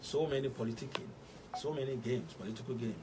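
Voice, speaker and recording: A Nigerian man with a slightly high voice presents a radio programme in a formal, deliberate way. He stresses his phrases emphatically. He sounds a little away from the mic, with faint noise behind him. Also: distant mic. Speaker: radio show host. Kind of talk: formal news commentary and interview introduction. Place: radio studio.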